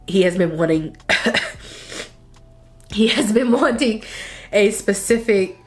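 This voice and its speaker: A woman's voice: medium pitch, expressive, takes it slowly. A woman in her thirties chatting casually to camera as a vlogger. She sounds stuffy and congested and rambles with sighs and coughs. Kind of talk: casual chat.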